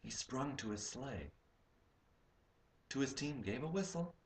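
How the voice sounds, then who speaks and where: A man's voice: medium pitch, animated, measured pace, echoey room, very noisy. A man reciting a poem in a dramatic, playful, hammy way, dropping in comic asides. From United States.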